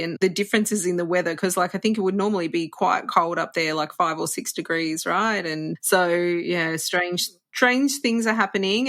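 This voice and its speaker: A woman's voice: lowish voice, creaky, fairly bright tone. A woman hosting a travel podcast, upbeat and conversational, chatting with her guests. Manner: upbeat, conversational, gives tips. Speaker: podcast host.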